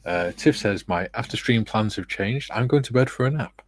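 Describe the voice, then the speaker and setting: A middle-aged man hosting a relaxed livestream, reading viewer comments aloud with a soft, soothing, self-deprecating manner and frequent laughs. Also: fairly low pitch, normal speed. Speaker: livestream host.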